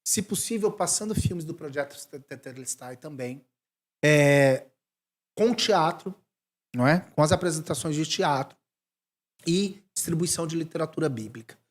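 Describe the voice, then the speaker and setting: Animated Brazilian man in his forties. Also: a little high, moderate pace. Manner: animated, thinking aloud with restarts. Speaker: missionary guest. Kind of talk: casual interview chat.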